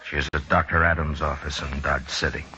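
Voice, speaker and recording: An actor playing a steady, weary frontier lawman in a 1950s radio western, measured, earnest and understated. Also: rumbling low, a little hiss or hum, heavily acted. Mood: concerned, cautious. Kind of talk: 1950s radio drama acting. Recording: dull old radio recording with music.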